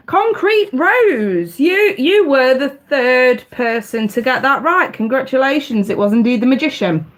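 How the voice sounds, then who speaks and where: A woman, casually hosting a live tarot stream, chatty and talking straight to her viewers, her voice bunged up with congestion. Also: on the high side. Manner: chatty, addresses viewers directly.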